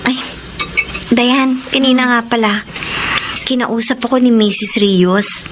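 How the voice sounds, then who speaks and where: High-voiced humble young housemaid. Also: female voice, slow pace, background noise. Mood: eager. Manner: polite, earnest. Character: humble, hardworking young housemaid, orphaned and eager. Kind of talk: Filipino radio drama acting.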